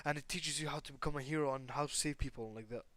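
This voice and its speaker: A man's voice: medium pitch, racing, fairly bright tone, no room sound, lightly acted. A man voicing a polite, confused teenage boy who is training as a hero, hesitant and earnest, in an improvised anime story.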